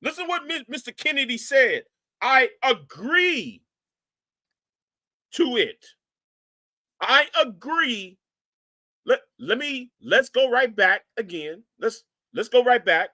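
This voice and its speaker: Animated man reacting on a live stream. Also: extremely high pitch, takes it slowly, long pauses. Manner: animated, repetitive, stammering restarts. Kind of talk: reaction commentary.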